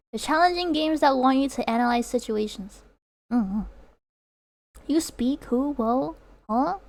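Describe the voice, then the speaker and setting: A young woman streamer whose first language is Chinese, chatting casually with viewers, rambling with lots of fillers and laughter. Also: fairly high pitch. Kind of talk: casual chat reading viewer comments.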